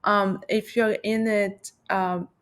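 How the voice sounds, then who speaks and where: A woman's voice: mid-range voice, flat delivery, slow. A middle-aged woman from Lebanon, a math professor talking reflectively as an interview guest, with many fillers and restarts. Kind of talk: casual interview talk.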